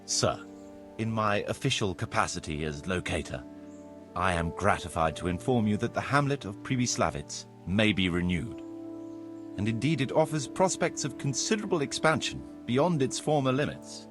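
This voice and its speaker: An actor playing a formal, courtly medieval land surveyor in a video game, speaking precisely and deferentially. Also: male voice, fairly low pitch, lively intonation, moderate pace, music in the background, lightly acted. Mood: formal, assured. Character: formal, courtly medieval locator Marius, eager planner and surveyor. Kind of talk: video game cutscene acting.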